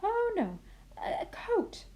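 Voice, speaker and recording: A woman playing a kindly, muddled grandmother in an expressive children's storybook reading. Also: very high-pitched, drawn-out words, pitched above the performer's own voice, exaggerated voice. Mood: puzzled. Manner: expressive storybook reading with puzzled self-corrections. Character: kindly, muddled grandmother misreading fairy tale aloud.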